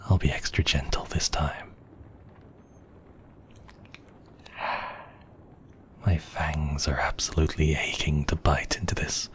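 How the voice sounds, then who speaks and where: A man's voice: rumbling low, a bit bright, heavily acted. A man playing a seductive, obsessive vampire count in an intimate ASMR roleplay, murmuring and often slipping into whispers. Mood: hushed, hungry. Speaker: audio roleplay voice actor.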